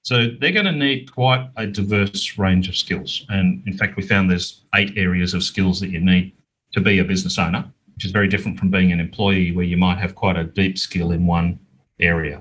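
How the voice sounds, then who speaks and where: A middle-aged Australian man explaining things in a measured, conversational way as an interview guest. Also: low-pitched, a bit bright, slightly rough, poor audio. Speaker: interview guest, business owner.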